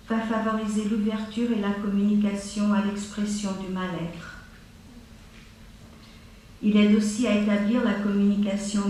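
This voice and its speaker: A woman teaching a class on acupuncture, going slowly and steadily through a list of treatment points. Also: mid-range voice, darkish tone, far from the mic, slight background noise. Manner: slow, measured delivery, listing items one by one. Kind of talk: lecture listing treatment points. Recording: very echoey room, voice from afar.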